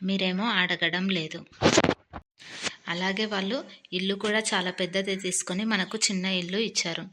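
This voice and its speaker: A woman's voice: medium pitch, pushed and bright, slight background noise, close to an everyday voice. A woman voicing a nagging, aggrieved village wife in a moral story cartoon. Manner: complaining, urging.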